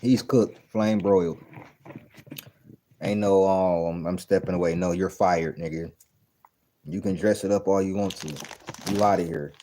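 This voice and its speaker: 30s guy ranting over a clip, low mellow voice, cussing and repeating himself. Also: steady tone, a little slow, long pauses, slight background noise. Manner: blunt, profane and incredulous, repeating points. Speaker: reaction video host. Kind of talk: casual commentary reacting to a clip.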